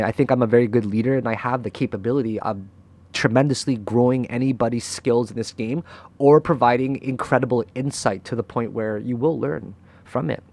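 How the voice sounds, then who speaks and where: Young male host, mid-pitch, casual monologue to camera; blunt, swears, checks in with "right?". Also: a little mellow. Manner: frank and emotional, swears freely, keeps asking "right?". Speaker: crypto trader and channel host. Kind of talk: candid motivational talk to camera.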